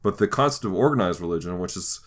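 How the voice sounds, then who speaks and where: A man hosting a conversational podcast, talking through ideas in a thoughtful, halting way with frequent restarts. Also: low-pitched, lively intonation. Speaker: podcast host. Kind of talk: conversational podcast discussion of faith and pop culture.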